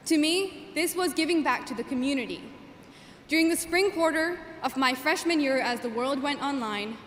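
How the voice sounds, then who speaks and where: A young woman in her twenties whose first language is Gujarati, giving an earnest, measured commencement speech as class valedictorian at a podium microphone. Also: sits high, fairly bright tone, slight background noise. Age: 20s. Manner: earnest, measured, with emphatic phrasing. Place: large commencement hall. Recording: echoey hall with long reverb.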